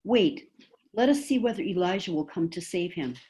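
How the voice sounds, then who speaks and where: A woman's voice: on the low side, lively intonation, normal speed, dark tone, close to an everyday voice. A woman reading the parts of crowd members and priests in a dramatic scripture reading. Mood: curious. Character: mocking crowd, bystanders and priests of biblical Jerusalem. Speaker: volunteer reader in a church Passion reading.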